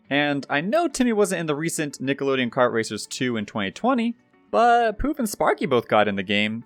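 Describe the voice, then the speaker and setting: A man's voice: mid-range voice, no room sound. A young adult man narrating gaming news in a scripted, upbeat presenter style, addressing viewers directly. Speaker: YouTube gaming news host. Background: background music.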